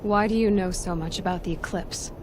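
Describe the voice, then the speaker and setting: A woman's voice: on the low side, steady tone, a little fast, noisy background, close to an everyday voice. A woman playing a determined, guarded young huntress in a video game, probing and dryly sarcastic. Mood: probing, uneasy. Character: determined, wary, sharp-tongued young huntress heroine. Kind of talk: video game cutscene dialogue.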